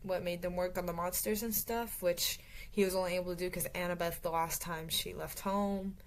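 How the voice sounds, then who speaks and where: A woman's voice: on the low side, steady tone, moderate pace, slight background noise. A woman casually recapping a novel for her book review channel, rambling with lots of fillers and restarts, her voice growing tired. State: voice getting tired.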